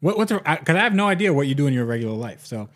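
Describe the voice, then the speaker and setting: A man's voice: on the high side, big pitch swings, rapid-fire, fairly bright tone. Rambling Russian-born man, chatty. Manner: rambling, repeats words while searching. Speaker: podcast co-host. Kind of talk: casual chat.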